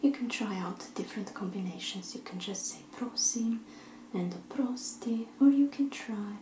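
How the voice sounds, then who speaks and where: A woman teaching simple phrases in a soft, often whispered, encouraging way while seated at a table indoors. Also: medium pitch, moderate pace, reverberant, a little hiss or hum, rough recording. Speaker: language teacher.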